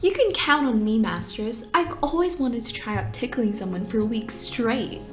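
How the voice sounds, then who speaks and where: A woman's voice: on the high side, expressive, normal speed, faint music behind, lightly acted. A woman playing a devoted, playfully sadistic demon servant girl in an audio drama, sugary and fawning. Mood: playful, eager. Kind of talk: fiction podcast audio drama acting.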